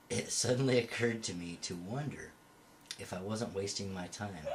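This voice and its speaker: Low-voiced man reading a novel aloud. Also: poor audio. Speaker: amateur audiobook reader. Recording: home recording with faint background noise.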